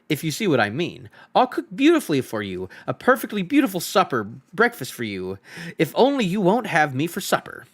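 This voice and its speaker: Scared little hobbit, male, polite, stammering, begging, high bright voice, a man doing it. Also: heavily acted. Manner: timid, stammering and pleading. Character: small frightened male hobbit, polite and pleading.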